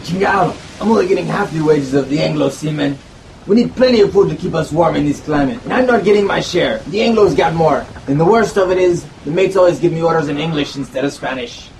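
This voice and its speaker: A man's voice: a little high, fast, a little mellow, far from the mic, slight background noise, pitched above the performer's own voice, close to an everyday voice, rough recording. Man doing a resentful underpaid sailor.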